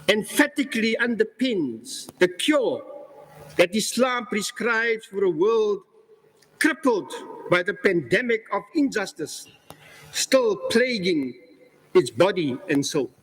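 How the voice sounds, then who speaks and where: Husky, high-voiced man in an echoey hall. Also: fairly bright tone. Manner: earnest, emphatic, quotes scripture slowly. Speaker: speaker. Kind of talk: formal religious speech. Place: large hall at a religious convention. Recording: echoey hall with long reverb.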